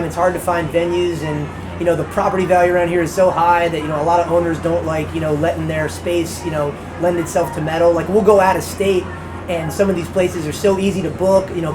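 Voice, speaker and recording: A man with a high voice for a guy, a band member answering interview questions in a fast, rambling way full of "you know". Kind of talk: casual interview chat. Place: outside a music venue. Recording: noisy background ambience.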